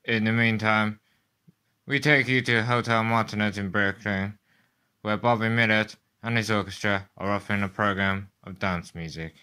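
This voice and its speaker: A man playing a sober studio newsreader in a radio drama, reading bulletins in a formal, deliberate way. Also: fairly low pitch, level tone, pushed and bright, clean tone. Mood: grave, formal. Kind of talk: 1930s radio news bulletin acting.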